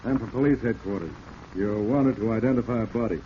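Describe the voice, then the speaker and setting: An actor playing a shrewd, sardonic police detective who probes calmly and wryly, in 1940s radio drama style. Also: male voice, on the low side, a little fast, lightly acted. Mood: matter-of-fact. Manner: wry, leading questions. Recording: muffled, hissy old radio recording.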